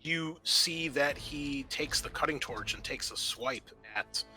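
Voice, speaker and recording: A man's voice: a little high, takes it slowly, bright, forceful tone, soft background music. A man acting as game master, narrating and refereeing a tabletop roleplaying game in an improvised way.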